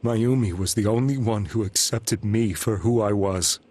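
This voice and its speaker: A low-voiced, somewhat rough American actor playing a middle-aged man in a video game drama. He is troubled and grieving, and he tells his story haltingly, with guilt and anguish under every line. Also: darkish tone, lightly acted. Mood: grieving, dejected.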